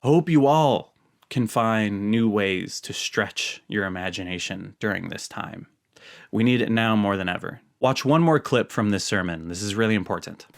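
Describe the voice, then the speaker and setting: A man speaking as a video host, giving reflective commentary to viewers in an earnest, measured manner. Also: lowish voice.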